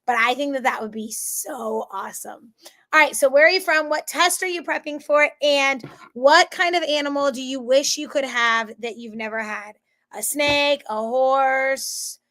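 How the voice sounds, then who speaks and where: A woman's voice: high-pitched, fairly bright tone. An American woman teaching an online class in a casual, bubbly, rambling way, her voice strained by a cold. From central Florida, United States. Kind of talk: casual chatty live lesson.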